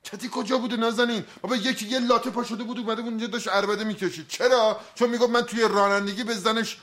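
A man's voice: fairly high pitch, animated, fairly bright tone. An actor playing a defensive, easily flustered male psychologist in a sitcom, given to indignant outbursts. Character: excitable, defensive male psychologist, quick to temper. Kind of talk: sitcom acting.

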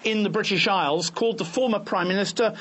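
A man's voice: sits high, quick pace, a bit bright. A man speaking as a panel guest, blunt and sardonic in his remarks. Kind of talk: debate commentary.

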